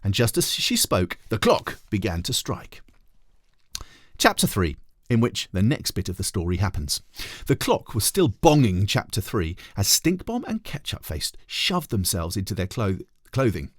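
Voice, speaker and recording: A man, a teacher, reading a children's storybook aloud in an animated narrating style. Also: lowish voice, measured pace, no room sound, studio quality.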